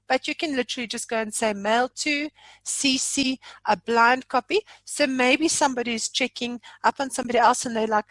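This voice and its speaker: A South African woman giving an informal software demonstration, chatty and jokey, poking fun at herself. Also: a little high, no room sound, low-quality recording. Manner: chatty, jokey, self-deprecating. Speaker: software trainer.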